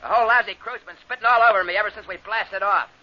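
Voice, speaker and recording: An actor playing a defiant, sarcastic spaceship engineer in an old science fiction radio drama, talking in rough slang. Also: male voice, high-pitched, fast, close to an everyday voice. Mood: defiant, angry. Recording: dull old radio recording, faint noise.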